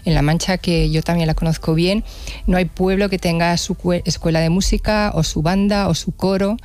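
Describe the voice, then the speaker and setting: A woman's voice: fairly low pitch, fairly even intonation, moderate pace, darkish tone. A woman with an accent from Spain, a musician giving reflective, conversational interview answers and rephrasing as she goes. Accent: Spanish from Spain.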